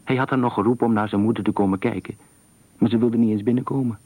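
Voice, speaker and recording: A man with a low, husky voice reads a literary thriller story for radio. His delivery is measured and expressive, like a practised storyteller. The sound is dry and close. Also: dry recording. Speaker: narrator.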